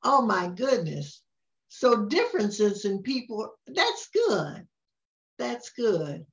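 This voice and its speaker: An older woman reading to children and chatting gently about a picture book, often laughing softly. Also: mid-range voice, big pitch swings, slow pace. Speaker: storytime reader. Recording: dull lo-fi sound.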